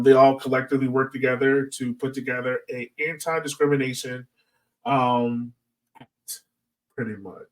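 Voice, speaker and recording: A man hosting a podcast in a casual, informal, slangy way. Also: medium pitch. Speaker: podcast host. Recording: slightly distant from the mic.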